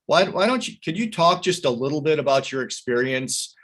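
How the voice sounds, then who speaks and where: A man's voice: a little high, lively intonation, brisk, a bit bright. A man moderating an online welcome session for students, often stammering and repeating words as he hands over to each speaker. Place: video call.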